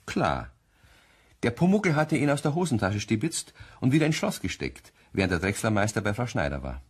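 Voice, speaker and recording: A man's voice: lowish voice, big pitch swings, normal speed, breathy edge. A man narrating a scripted German radio play in a wry storytelling manner. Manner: wry storytelling with rhetorical questions.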